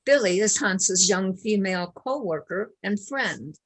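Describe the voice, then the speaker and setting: Woman narrator reading a play script, unhurried, medium pitch. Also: expressive, slightly rough. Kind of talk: scripted stage-direction narration.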